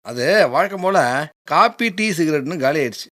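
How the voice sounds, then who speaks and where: A lazy young sponger in a radio drama. His voice is highish, sharp and very animated, slipping into excuses. It is recorded dry. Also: moderate pace, dry recording. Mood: casual, evasive. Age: young adult. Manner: evasive, stammering excuses. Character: idle, evasive young male freeloader friend. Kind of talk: Tamil radio drama acting.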